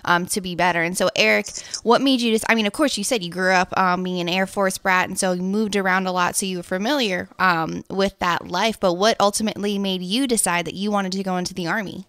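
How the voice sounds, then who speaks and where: Woman, American accent, bright crisp voice, effusive podcast host, casual interviewing, dry sound. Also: mid-range voice, fairly even intonation, fairly quick, hardly any pauses, dry recording.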